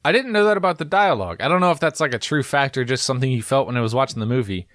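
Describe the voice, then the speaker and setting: A man co-hosting a podcast, chatting casually and reading film reviews aloud with quick asides and laughs. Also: mid-range voice, lively intonation, a bit bright, no echo, studio quality. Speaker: podcast co-host.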